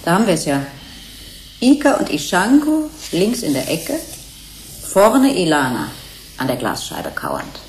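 Earnest investigator, low voice, lively intonation, precise, firm, radio drama. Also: female voice, unhurried, pauses often, exaggerated voice, rough recording. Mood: businesslike, sombre. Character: earnest, matter-of-fact female research investigator. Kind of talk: German radio play acting. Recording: 1980s radio play, faint noise, ambience.

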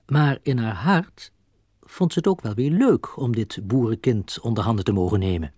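Expressive low-voiced Dutch male storyteller. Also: no echo, clean studio sound. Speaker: storyteller, narrator. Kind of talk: scripted audio-drama narration.

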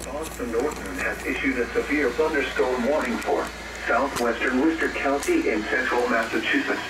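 A man's voice: medium pitch, normal speed. A man delivers formal emergency weather alerts over a weather radio. His delivery is impersonal and scripted. The playback sounds roomy and off in the distance, under heavy noise. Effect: weather radio broadcast speaker. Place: played from radios in a bedroom.

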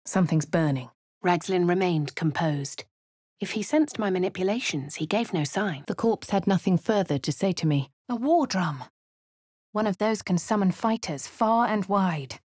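An actress with a posh English accent voicing a composed young female adventurer in a game, crisp and clipped. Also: on the low side, animated, normal speed, a little mellow, no echo, clean studio sound. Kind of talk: game voice lines and narration.

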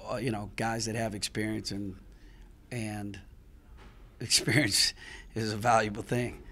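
A man's voice: medium pitch, a bit bright. A middle-aged man, a basketball head coach, speaks frankly and with some frustration at a post-game press conference, restarting phrases as he thinks. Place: press room before a sponsor backdrop. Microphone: handheld mic on a stand close in front.

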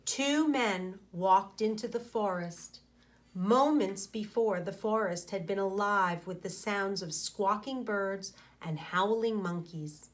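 A woman in her forties, a teacher reading aloud to children and explaining, with deliberate, pausing phrasing. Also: mid-range voice.

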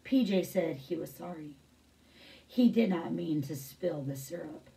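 Low, mellow woman reading a storybook. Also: expressive, unhurried, creaky, recorded from a distance. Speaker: teacher reading a picture book aloud. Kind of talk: storybook read-aloud with brief asides.

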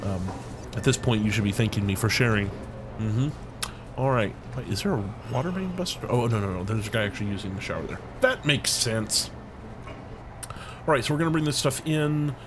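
A man's voice: mid-range voice, animated, a little fast. A man hosting a game let's play, rambling as he thinks aloud and humming to himself, his voice a bit scratchy from being ill. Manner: rambling, thinks aloud, hums filler tunes. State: recovering from illness, a bit scratchy. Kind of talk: casual gameplay commentary.

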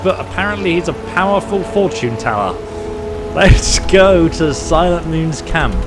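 A man narrates his own run through a fantasy game, chatty and quick to exclaim when the fighting starts. His voice sits at an ordinary mid pitch, with loud game music running beneath it. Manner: excitable, exclaiming and role-playing in combat. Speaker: gaming YouTuber. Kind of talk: let's-play commentary.

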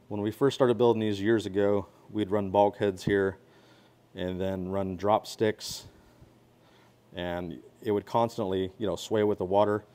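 A man's voice: lowish voice, darkish tone. A man giving a casual hands-on walkaround of equipment he built, explaining details while filming with a handheld phone in a workshop. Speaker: pressure washing skid builder.

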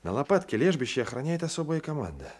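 An actor playing a blunt, loyal provincial Cossack sergeant, folksy and emphatic, in a radio play. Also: male voice, fairly high pitch, big pitch swings, fast talker. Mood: wry. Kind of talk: Soviet radio play acting.

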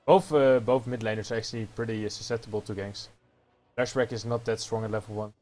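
A man's voice: fairly low pitch, fairly even intonation, fast, darkish tone. A man casting esports in a chatty style, often laughing with his co-caster. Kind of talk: esports commentary.

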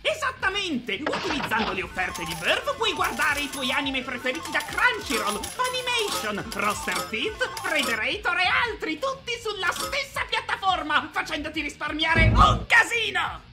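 A woman's voice: high-pitched, big pitch swings, normal speed, few pauses, loud music behind the voice. A woman playing a boastful, flamboyant anime villain who delivers showy sales patter in a parody skit.

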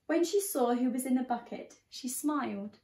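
A young woman reading a picture book aloud to young children in an expressive, teacherly way. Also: high voice, normal speed. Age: young adult. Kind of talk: storybook read-aloud. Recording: echoey room sound.

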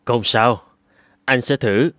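Earnest cartoon inventor. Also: male voice, mid-range voice, takes it slowly, fairly bright tone, no room sound, clean studio sound. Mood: determined. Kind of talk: dubbed cartoon dialogue.

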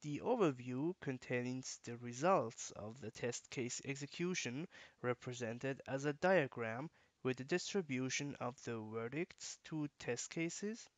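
A man with a mid-range voice reads a software walkthrough in an even, instructional way. The recording is dry and close, with no room sound. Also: medium pitch, dry recording. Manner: measured, instructional. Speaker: software tutorial narrator. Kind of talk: scripted screencast narration.

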